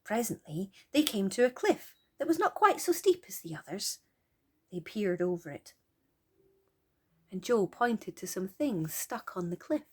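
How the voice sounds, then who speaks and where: A woman storyteller narrating for children in a gentle, expressive way, often dropping to a hushed, breathy near-whisper. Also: mid-range voice, measured pace.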